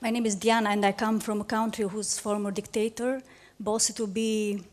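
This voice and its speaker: A woman's voice: medium pitch, level tone, moderate pace, a little mellow. A woman from Albania with an Albanian accent, speaking from the audience at a public debate and giving an earnest, emphatic testimony. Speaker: audience member.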